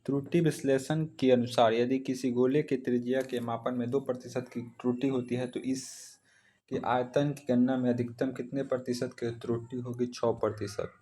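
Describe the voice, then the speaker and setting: A man's voice: mid-range voice, little pitch movement, normal speed, mellow. An Indian man teaching exam practice, reading out questions and following each with a short answer.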